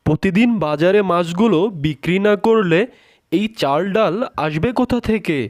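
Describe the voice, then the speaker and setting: A man's voice: high voice, normal speed, lightly acted. A man voicing a doting, teasing, poor fisherman father in a cartoon. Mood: placating, fond. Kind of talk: cartoon character dialogue.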